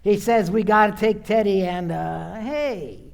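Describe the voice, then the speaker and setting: Man as a high, gravelly patrol cop. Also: unhurried, darkish tone. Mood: brisk. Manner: blunt, slangy. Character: brusque, cocksure male patrol cop. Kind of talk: old-time radio play acting.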